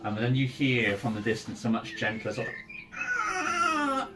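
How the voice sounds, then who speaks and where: A man's voice: lowish voice, fairly even intonation, fast talker. A man casually narrating and refereeing a tabletop game as game master, in person at the table. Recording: background music under the voice.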